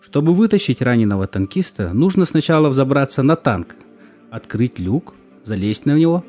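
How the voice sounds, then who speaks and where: Man acting a gruff army commander, swooping delivery. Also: medium pitch, very animated, normal speed, a little mellow, lightly acted. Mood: testing, lecturing. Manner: curt, commanding, interrogating. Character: gruff, weary military recruitment commander, stern with young volunteers. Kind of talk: stage-style audio drama acting. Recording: music under the voice.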